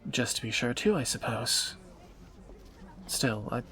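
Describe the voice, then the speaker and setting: A man playing a teasing, protective captor turned lover in a romantic fantasy audio roleplay, bantering and soft-spoken. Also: mid-range voice, lively intonation, a little slow, a little hiss or hum. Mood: teasing, curious.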